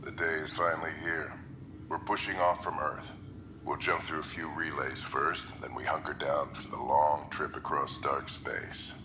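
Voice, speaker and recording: An actor playing a weary, gruff middle-aged explorer father, speaking gravely and reflectively into his personal log for a video game. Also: little pitch movement, moderate pace, noisy background. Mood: resolute. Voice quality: gravelly, low.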